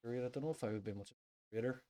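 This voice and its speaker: A man's voice: mid-range voice, racing, mellow. A Northern Irish man hosting a music podcast in a casual, chatty style. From Northern Ireland.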